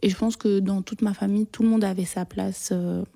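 Young female interviewee, mellow and level, informal, hesitant delivery. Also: medium pitch, steady tone, brisk, vocal fry. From Belgium. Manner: hesitant, searching for words. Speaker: interview guest. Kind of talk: casual, personal interview talk.

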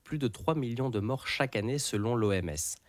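A man co-presenting a health report, reading a script in a clear, measured delivery. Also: medium pitch, lively intonation, no room sound. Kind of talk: scripted narration.

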